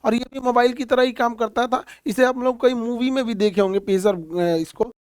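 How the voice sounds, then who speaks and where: A man's voice: very high voice, fairly even intonation, normal speed, darkish tone, clear, resonant tone, no room sound, studio quality. A man teaching a current-affairs lesson in a plain explaining manner, pointing things out on a map and using many fillers. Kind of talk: explainer lecture.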